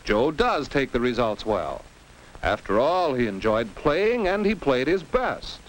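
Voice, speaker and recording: A man's voice: medium pitch, very animated, unhurried. An American man giving scripted, didactic narration for a 1950s educational film for teens, in the manner of a commentator who poses questions and exclaims. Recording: noisy old 1950s film soundtrack.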